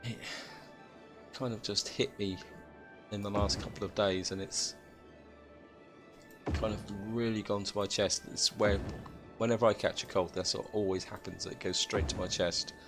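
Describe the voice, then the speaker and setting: A British man with a croaky voice from a chest cold, chatting casually as he thinks aloud through a city-building game. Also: lowish voice, fairly even intonation, normal speed, long pauses, over music. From Britain. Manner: thinks aloud, chatty, addresses viewers as guys. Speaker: gameplay commentator.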